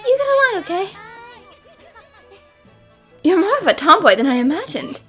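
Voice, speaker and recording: A woman voicing youthful, expressive young women with a girlish manner in a cartoon fan dub. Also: extremely high pitch, normal speed, faint music behind, full character voice. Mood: friendly. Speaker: voice actor in amateur cartoon dub.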